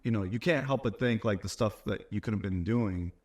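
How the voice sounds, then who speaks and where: Man, 30s, lowish voice, talks fast, casual co-host. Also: a little mellow. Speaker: podcast co-host. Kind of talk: casual interview chat.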